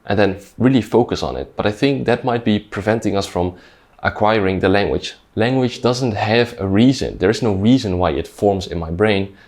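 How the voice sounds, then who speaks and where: A man with a notably low, deep voice speaks in English, which is not his first language; he grew up speaking Dutch. He explains ideas in a thoughtful, conversational manner, often pausing on "like" and restarting his sentences. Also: darkish tone. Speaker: language learning creator. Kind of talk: reflective talking-head explainer.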